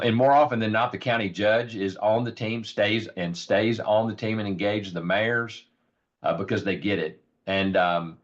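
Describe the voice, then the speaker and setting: A man with a raspy, hoarse voice giving an earnest informal presentation on a rural health program, with many ums and repeated words. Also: on the low side. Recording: dull lo-fi audio.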